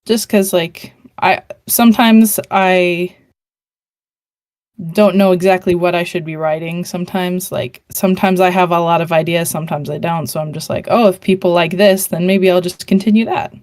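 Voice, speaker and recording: A young woman writer on a panel, talking casually and hesitantly, with many fillers and pauses. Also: lowish voice, steady tone, darkish tone, no echo, clean studio sound.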